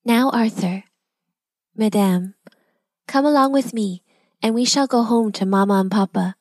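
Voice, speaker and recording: A woman voicing a brave, kind young girl who talks politely and calmly. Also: on the high side, a little slow, no room sound, studio quality. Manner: polite and calm.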